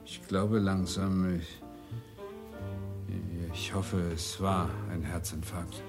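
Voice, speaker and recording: Low-voiced, nervous, halting doctor. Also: male voice, steady tone, over loud music. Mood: uneasy, defensive. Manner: halting, stammering. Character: nervous, evasive male doctor hiding guilt. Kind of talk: radio crime drama acting.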